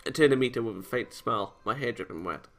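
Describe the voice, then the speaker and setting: A man's voice: mid-range voice, animated, quick pace. A man hosting a let's play, reading a visual novel aloud with casual comments and often stumbling over lines. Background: game music.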